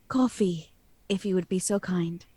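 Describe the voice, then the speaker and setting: An actress playing a gentle, polite young daughter of the household in a radio play. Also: female voice, fairly low pitch, a little slow, a little hiss or hum, close to an everyday voice. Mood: soft, polite.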